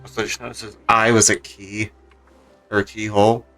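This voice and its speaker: A man's voice: on the low side, little pitch movement, moderate pace, sharp tone, faint music behind. A man giving casual, offhand live commentary as he streams a game.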